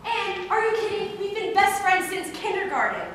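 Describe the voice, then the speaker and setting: A woman's voice: extremely high pitch, sing-song, normal speed, fairly bright tone, heavily acted. A woman playing an exasperated, pleading teenage girl in an amateur stage play, protesting dramatically. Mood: indignant. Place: stage performance in a large hall. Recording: echoey hall, distant mic, clear noise.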